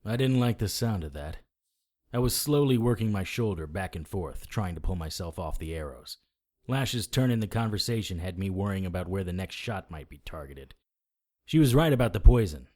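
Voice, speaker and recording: An actor playing a cocky, sarcastic hardboiled supernatural detective, narrating and trading defiant lines in a noir audio drama. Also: male voice, low voice, animated, normal speed, darkish tone. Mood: wry narration.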